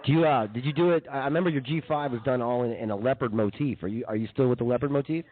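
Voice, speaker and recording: A man's voice: mid-range voice, very fast. A man co-hosting a comedy radio show, chatting in a casual, slangy, rambling way. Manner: laid-back slangy, often says dude and man. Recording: tinny narrow phone-like sound.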